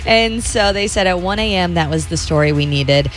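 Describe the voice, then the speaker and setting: A woman's voice: on the low side, normal speed, soft background music. A woman co-hosting a radio fundraiser, reading out listener stories and thanking givers. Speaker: radio host.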